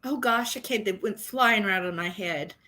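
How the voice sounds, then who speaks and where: Bright-voiced chatty middle-aged woman. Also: mid-range voice, moderate pace. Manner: chatty, loses her words mid-sentence. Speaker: livestream co-host. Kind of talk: casual chat.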